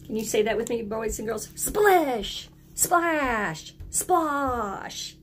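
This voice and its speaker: A woman's voice: a little high, slight background noise. A woman reading a children's picture book aloud like a teacher, speaking simply and prompting young listeners to join in. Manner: simple, deliberate phrasing, prompting children to repeat words.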